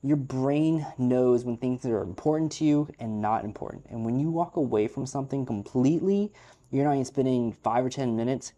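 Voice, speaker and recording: Male vlogger, native English speaker, mid pitch, dark mellow timbre, candid rambling delivery. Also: moderate pace. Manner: frank, opinionated, rambling with fillers. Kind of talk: unscripted casual monologue.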